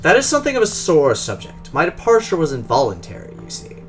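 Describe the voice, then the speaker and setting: A man voicing a polished, guarded Russian drone engineer who talks in a formal, measured, precise way, with a Russian accent. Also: on the high side, huge pitch swings, fairly bright tone, soft background music.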